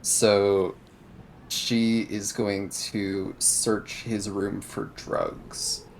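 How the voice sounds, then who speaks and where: A man playing a tabletop roleplaying game, talking casually about the story in a halting, measured way. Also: on the low side, little pitch movement, gravelly, a little hiss or hum, low-quality recording.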